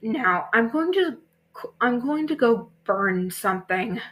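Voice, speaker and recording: A woman's voice: fairly high pitch, expressive, slow pace, fairly bright tone, rough recording. A woman voicing a brooding, stressed teenage boy, terse and matter-of-fact, in an amateur story reading. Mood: tense.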